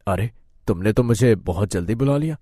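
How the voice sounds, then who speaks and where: A man voicing a solemn, benevolent shape-shifting serpent spirit in a cartoon moral story, speaking in a grave, measured and gracious manner. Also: fairly low pitch, lively intonation, mellow, no echo, voice pushed down. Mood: calm, assuring.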